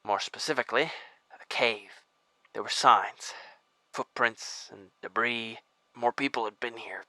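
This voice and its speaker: An injured, exhausted former agent, played by a man, who pushes out his words in strained, halting bursts. His voice is bright and close on a dry mic. Sighs and breathy whispers slip in between his lines. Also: medium pitch, no room sound, rough recording. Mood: weary. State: injured, weak and short of breath. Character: wounded, bleeding man, weary former federal agent. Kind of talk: audio drama acting.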